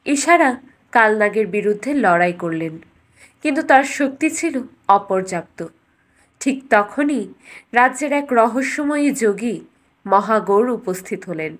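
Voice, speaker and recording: A woman with a fairly high voice narrates a fairytale. She delivers it as a scripted bedtime story, in the role of storyteller. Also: animated, unhurried, pauses often.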